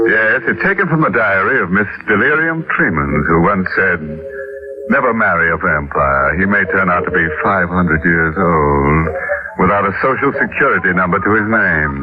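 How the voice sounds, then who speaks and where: Deep, ghoulish radio horror host. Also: male voice, lively intonation, normal speed. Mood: ghoulish, teasing. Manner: mock-sinister, teasing, chuckling. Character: ghoulish, sardonic male horror-show host who relishes morbid jokes. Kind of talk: 1940s radio horror hosting. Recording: reverberant, slightly muffled old radio broadcast.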